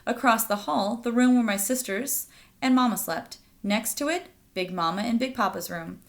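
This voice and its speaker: A woman reading a children's picture book aloud as a storyteller, addressing young listeners directly. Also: on the high side. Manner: pauses to ask listeners questions.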